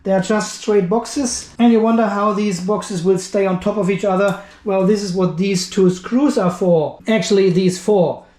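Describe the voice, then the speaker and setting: A man's voice: sits high, fairly even intonation, moderate pace, few pauses, a little mellow. A man hosting a casual hands-on product review, talking informally and thinking aloud as he handles the item. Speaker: video host, product reviewer. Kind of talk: casual unboxing and review commentary. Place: indoor workshop or garage. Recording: roomy, echoing indoor sound.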